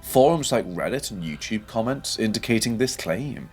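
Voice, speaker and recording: A man's voice: on the low side, animated, moderate pace. A man narrating a scripted horror game video essay with a dramatic, storytelling delivery, posing rhetorical questions. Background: background music.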